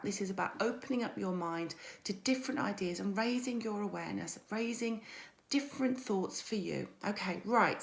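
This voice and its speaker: A woman mindset coach with a croaky voice, hosting a chatty weekly livestream and greeting viewers by name. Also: medium pitch. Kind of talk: weekly social media livestream.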